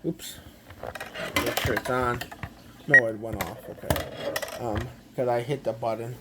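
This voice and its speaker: A man gives a casual, halting, step-by-step product walkthrough, often repeating himself. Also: medium pitch, steady tone, very noisy. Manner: halting, repetitive, step-by-step explaining. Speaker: amateur gadget demonstrator.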